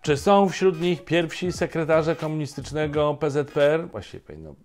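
A man's voice: fairly high pitch, lively intonation, normal speed, a little mellow. A middle-aged man hosting a satirical news show, delivering scripted commentary with ironic, emphatic asides from a home study.

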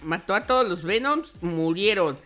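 Man, gaming video host, bright sharp voice, playful exclamatory commentary, repeated words, music behind. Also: sits high, lively intonation, moderate pace. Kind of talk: excited gameplay roleplay commentary.

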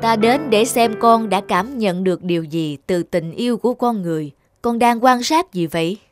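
A woman voicing a large, patient god of love in a cartoon, a wise mentor who instructs in measured words. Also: mid-range voice, animated, soft background music, close to an everyday voice. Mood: calm, wise. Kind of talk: cartoon dubbing.

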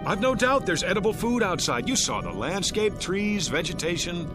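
An actor playing a stubborn, commanding spaceship captain in a radio drama, speaking with insistent authority. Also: male voice, sits high, big pitch swings, moderate pace. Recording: classical music playing behind the voice.

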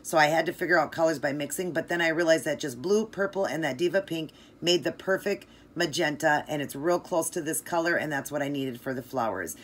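A woman's voice: sits low, a little fast, few pauses, slight background noise. A middle-aged woman hosting a nail art tutorial, chatting eagerly through each step and gushing about colors. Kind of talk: casual step-by-step tutorial narration.

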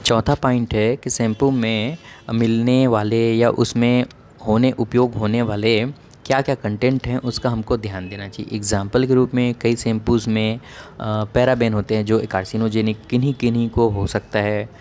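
A man's voice: medium pitch, steady tone, normal speed, darkish tone, slight background noise. A man giving a plain, methodical educational explanation as a doctor, point by point. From India. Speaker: dermatologist giving hair-care advice.

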